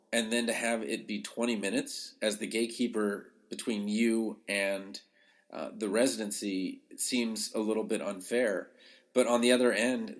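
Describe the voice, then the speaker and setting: A man talking informally as a podcast host and coach, giving advice in a conversational, emphatic way. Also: lowish voice. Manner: conversational, emphatic, acts out short quotes. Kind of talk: informal advice talk to listeners.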